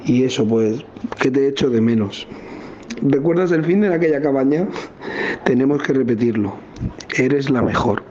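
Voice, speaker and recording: A man's voice: mid-range voice, normal speed, long pauses, mellow, natural voice. A man playing a tender, supportive loved one leaving an intimate voice message. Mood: tender, encouraging. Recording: dull recording with background noise.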